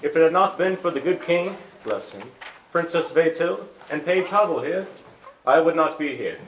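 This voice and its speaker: Guy as young soldier, hearty, pitch all over, echoey stage with bit of noise. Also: lively intonation, normal speed. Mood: grateful. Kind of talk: musical theatre staged reading. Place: indoor stage at an arts venue.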